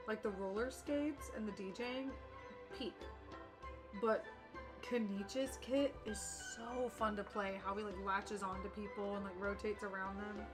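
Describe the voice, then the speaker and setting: A young woman streams a game with casual, chatty commentary. Her voice sits at a middle pitch, and she is opinionated and slangy, with music clearly playing underneath. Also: steady tone, measured pace. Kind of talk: casual live gaming commentary.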